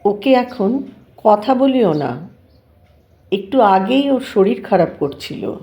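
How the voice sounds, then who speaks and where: A woman playing a concerned, stern middle-aged housewife and mother in an audio drama, insistent and deliberate. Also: mid-range voice, animated, mellow, highs cut off. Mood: caring.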